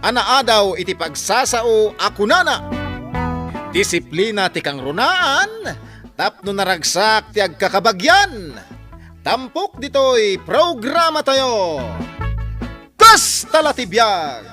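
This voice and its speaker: A male radio drama announcer with an Ilocano Filipino accent reads scripted credits. His high voice is lively and swooping, over guitar music. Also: slow pace.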